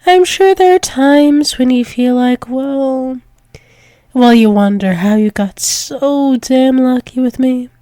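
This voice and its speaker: A woman's voice: sits high, takes it slowly, warm tone, no room sound, clean studio sound. A woman voicing a doting, dominant dragon-wolf demoness lover in an intimate ASMR roleplay, teasing with pet names and dropping into whispers. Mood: teasing, tender.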